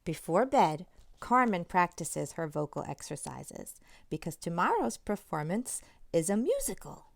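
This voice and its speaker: A woman's voice: on the low side, measured pace, creaky, no echo. A woman, a children's author, reading a picture book aloud expressively, with chatty asides straight to young listeners. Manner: animated, addresses young listeners directly.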